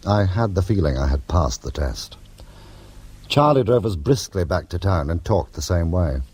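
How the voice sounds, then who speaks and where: A man's voice: low voice, expressive, normal speed, a little mellow, slightly rough. An actor playing a wry, urbane male criminal in a radio drama, speaking and narrating in a dry, sardonic, literary manner. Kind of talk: radio drama acting and first-person narration.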